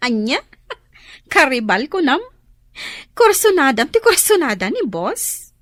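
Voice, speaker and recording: A woman's voice: high voice, huge pitch swings, takes it slowly, sharp tone, no room sound, clean studio sound, exaggerated voice. A woman with a Filipino accent playing an inquisitive young woman in an Ilocano radio drama. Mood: teasing, curious.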